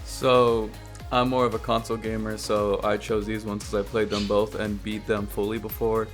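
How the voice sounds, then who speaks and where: Male podcast guest, slightly low pitch, casual conversational delivery, music clearly playing behind him. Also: flat delivery, normal speed. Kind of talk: casual gaming podcast talk.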